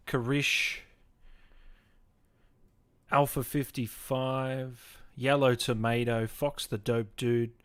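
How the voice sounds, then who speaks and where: A man's voice: mid-range voice, a bit bright. A man in his twenties chatting casually as the host of a gaming show. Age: 20s. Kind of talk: casual livestream chat.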